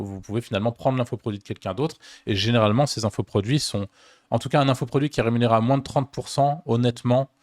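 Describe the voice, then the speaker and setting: A man's voice: on the low side, darkish tone, no echo, studio quality. A man with a French accent co-hosting a podcast, talking casually with many hesitations and restarts. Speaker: podcast co-host.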